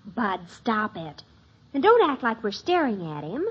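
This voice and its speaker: A woman playing an excitable, boy-struck American teenage daughter in a 1950s radio sitcom, gushing and dramatic. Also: on the high side, measured pace, slightly rough, full character voice. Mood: dreamy, teasing. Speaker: actor in a family situation comedy. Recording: muffled old radio recording.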